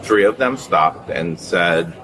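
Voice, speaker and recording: A man's voice: low voice, lively intonation, slow pace, a crowd in the background, rough recording. A man giving his account to police in insistent, clipped sentences, caught on body camera. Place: airport, in front of officers.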